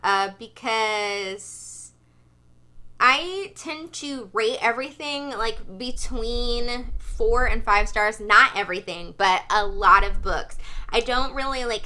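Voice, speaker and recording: A woman talking casually and chattily as a book vlogger, with emphatic, animated delivery. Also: medium pitch, low-quality recording.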